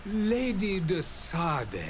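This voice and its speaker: Casting: high-ranking fantasy game official, male, grand and declamatory, lively melodic range, slight background noise. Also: extremely slow, full character voice. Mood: grave, decisive. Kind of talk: scripted video game dialogue.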